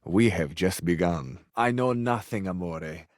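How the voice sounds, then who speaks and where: An actor playing a flowery, sensual, effusive Italian suitor who flirts overzealously in a video game, with an Italian accent. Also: male voice, low voice, measured pace, no echo, clean studio sound. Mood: seductive, tender.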